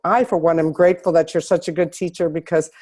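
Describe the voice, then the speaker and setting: A woman hosting an online interview, hoarse from a cold, often restarting her sentences. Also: lowish voice, steady tone, dark tone. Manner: halting, with many restarts. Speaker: host.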